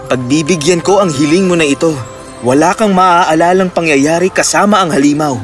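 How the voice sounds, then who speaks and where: A man voicing a gallant, tender young cat-boy hero in an animated children's story, earnest and reassuring. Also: on the high side, normal speed, soft background music, close to an everyday voice.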